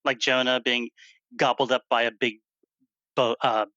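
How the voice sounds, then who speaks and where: A man's voice: mid-range voice, level tone, normal speed, bright, forceful tone, muffled recording. A man co-hosting a casual podcast, asking questions and cracking jokes. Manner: joking, teasing interjections. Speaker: podcast co-host. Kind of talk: casual chat, interviewer.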